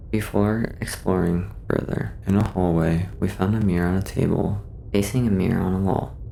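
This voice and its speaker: Man, deep gravelly voice, even delivery, formal horror log narration, background music. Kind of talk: scripted horror narration, case-file log reading.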